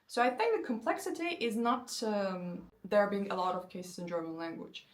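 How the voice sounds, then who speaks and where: A woman in her twenties, a native Turkish speaker, explaining language learning in a casual, lively way and slowing down for example sentences. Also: mid-range voice. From Turkey. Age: 20s. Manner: lively, conversational, slows down to spell out example sentences. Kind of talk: casual explanatory talk to camera.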